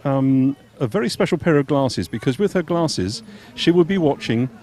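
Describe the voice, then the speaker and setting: A man commentating live on a junior triathlon race and interviewing a coach, in a measured, informative way with occasional fillers. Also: mid-range voice, animated, darkish tone. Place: outdoors at a triathlon venue.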